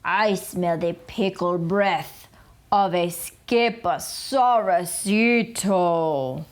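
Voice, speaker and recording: A woman voicing a blustering giant male T-rex villain in a storybook read-aloud, speaking slowly and menacingly. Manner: slow, booming, menacing delivery.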